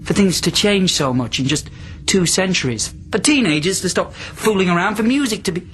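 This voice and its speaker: A man's voice: high voice, expressive, normal speed, fairly bright tone, slight background noise. A man acting in a radio drama as a volatile captive from centuries past, probing and rambling, swinging between tender and menacing. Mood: exasperated.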